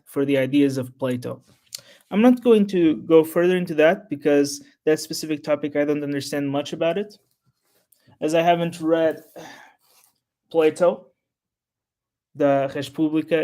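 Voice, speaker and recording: A man whose first language is Portuguese, talking unscripted to his channel's viewers in a halting, rambling way full of restarts. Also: fairly high pitch, darkish tone. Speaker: history YouTuber. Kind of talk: unscripted solo talk to camera.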